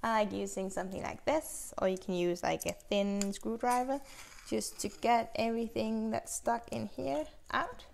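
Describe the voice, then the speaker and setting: A woman's voice: a little high, steady tone, normal speed, vocal fry, darkish tone. A young woman casually demonstrating chainsaw bar maintenance in a small indoor workshop, explaining practically as she handles the tools. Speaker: chainsaw instructor.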